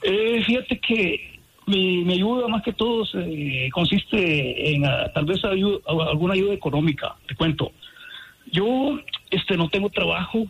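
A man's voice: high-pitched, unhurried, gravelly, fairly bright tone, breathy edge, lo-fi sound. A man from El Salvador calling in to a radio show, speaking politely and hesitantly with many fillers. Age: adult student. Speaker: caller. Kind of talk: phone-in call to a radio show.

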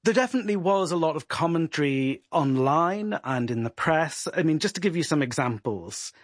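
A man, a guest reporter, explaining at length in a steady, informed way on a podcast. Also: fairly high pitch, normal speed, dry recording, studio quality.

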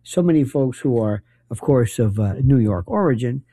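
Gravelly, rambling late-night radio host. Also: male voice, mid-range voice, normal speed, no room sound, clean studio sound. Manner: rambling, opinionated, animated asides. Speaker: radio talk show host. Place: radio studio.

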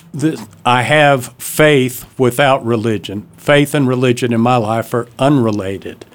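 An older man answers a radio interview from a studio in a middle-pitched voice. He talks like a storyteller, with some pauses and hesitations. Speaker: author and guest.

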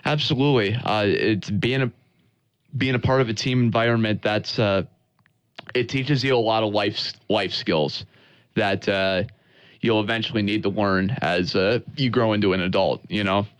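A man with an American accent talking conversationally as a sports broadcaster interviewed on radio, earnest and halting, often repeating words. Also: lowish voice, clear, resonant tone, no room sound.

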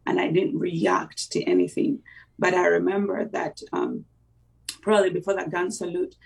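Gravelly voice, halting. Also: mid-range voice, little pitch movement, distant mic. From Uganda. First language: Luganda. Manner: reflective, halting, with many fillers and restarts. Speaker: interview guest. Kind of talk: personal faith testimony in conversation.

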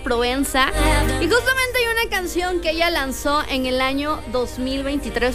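Radio DJ, Mexican woman, high voice, rambling casual patter, faint music under her. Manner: rambling, chatty, lots of fillers.

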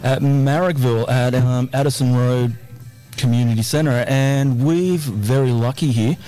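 An Australian man hosting a community radio show from a community hall, talking loudly and chattily. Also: medium pitch, measured pace, faint music behind. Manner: loud, friendly, chatty. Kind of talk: live radio interview.

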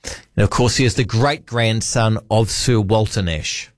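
A man's voice: on the low side, a little slow, no room sound, clean studio sound. A man with a New Zealand accent hosting a late-night talk radio show, chatty and wry, musing aloud. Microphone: studio microphone.

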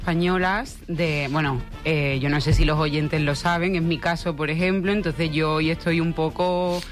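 A woman's voice: low voice, fairly even intonation, moderate pace, soft background music. A woman from Spain chatting casually as a radio panellist. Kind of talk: casual chat.

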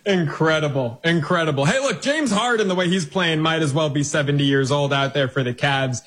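A man hosting a live sports betting show, bantering briskly with a guest and running through lines and numbers. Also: a little high, fairly bright tone, husky. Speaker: sports betting radio host.

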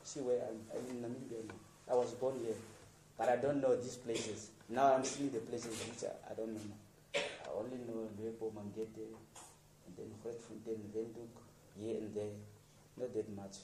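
A man's voice: fairly low pitch, level tone, normal speed, mellow, a little hiss or hum. A South African man, a radio presenter, speaking plainly and encouragingly to a group of students. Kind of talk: informal talk to a group of students. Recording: roomy, distant, faint ambience.

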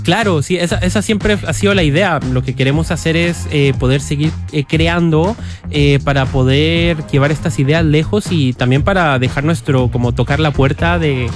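A young man, a filmmaker answering interview questions in a relaxed, hesitant way, with many pauses and restarts. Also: a little high, music in the background. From Costa Rica. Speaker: guest filmmaker.

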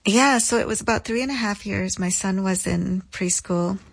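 A woman telling a personal story as an interview guest, reflective and deliberate, with emphatic, repeated phrasing. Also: mid-range voice, gravelly, dry recording.

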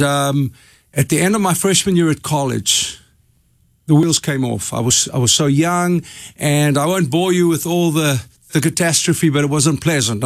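A man's voice: a little high, no echo, clean studio sound. A man in his sixties from South Africa with an Afrikaans accent, a native Afrikaans speaker, telling his story as an interview guest.

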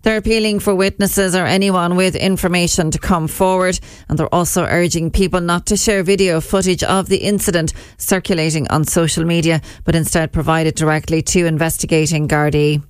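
A woman with an Irish accent reading a radio news bulletin in a measured, even way at a studio microphone. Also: on the low side, clean tone, no echo. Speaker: newsreader.

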